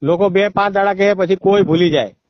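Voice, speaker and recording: A man's voice: high voice, fairly quick, no room sound. A middle-aged man with a rural eastern Gujarat accent, talking insistently and domineeringly in an informal, persuading way on a recorded phone call. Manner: insistent, domineering, talks over the listener. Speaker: political party member. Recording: muffled, band-limited phone call recording.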